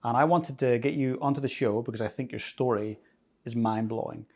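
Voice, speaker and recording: A man's voice: mid-range voice, brisk, darkish tone. A man from Northern Ireland hosting a podcast, introducing and questioning his guest earnestly and enthusiastically. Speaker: podcast host. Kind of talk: podcast interview.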